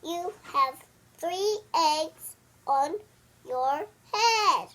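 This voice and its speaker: A child voicing a cheerful, helpful little girl piglet from a picture book, announcing things in drawn-out words. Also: very high-pitched, lively intonation, a bit bright, heavily acted.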